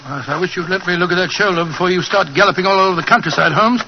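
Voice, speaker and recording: An actor playing a loyal, fussing gentleman companion to a detective, with a British accent, in 1940s radio drama style. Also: sits high, very fast. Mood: concerned, urgent. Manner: fussy, concerned, hesitant, gentlemanly. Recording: muffled, noisy vintage radio recording.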